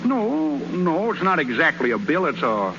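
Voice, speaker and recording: An actor playing a boastful young baseball pitcher who stammers out excuses in a folksy, ungrammatical drawl, in a 1930s radio drama. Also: male voice, huge pitch swings, moderate pace, gravelly, full character voice. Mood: flustered. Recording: dull, hissy 1930s radio broadcast.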